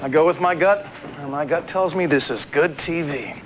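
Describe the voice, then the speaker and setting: Actor as brash high-voiced American talk-show bully. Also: male voice, moderate pace, close to an everyday voice. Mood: cocky. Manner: brash, showy. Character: bullying, goading male TV talk-show host in his forties. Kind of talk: television drama acting as live talk-show host.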